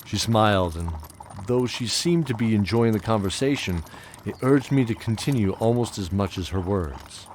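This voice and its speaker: A man's voice: fairly low pitch, expressive, normal speed, hardly any pauses, darkish tone, a little hiss or hum, close to an everyday voice. A man playing a bearded old knight, fatherly and wistful, telling his tale hesitantly in an audio drama. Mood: warm, fond. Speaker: narrator and knight character.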